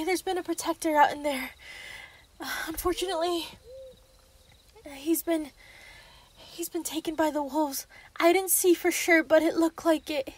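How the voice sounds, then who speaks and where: A woman's voice: very high voice, fairly bright tone, voice pushed up. A woman playing a frightened villager who pleads urgently in a roleplay audio drama.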